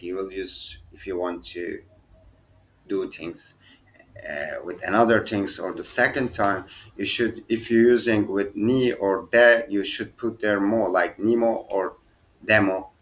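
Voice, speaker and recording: Slow, halting man teaching a lesson. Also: lowish voice, a bit bright. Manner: halting, thinks aloud, restarts and fillers. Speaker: language teacher. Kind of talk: casual screen-share lesson.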